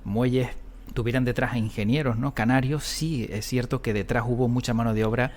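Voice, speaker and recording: A man's voice: medium pitch, steady tone, brisk, a little mellow. A man interviewing a guest in a conversational style, putting points forward for discussion.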